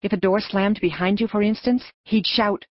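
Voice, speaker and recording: A woman narrating an audiobook story in an expressive storytelling manner. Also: medium pitch, moderate pace, no echo, highs cut off.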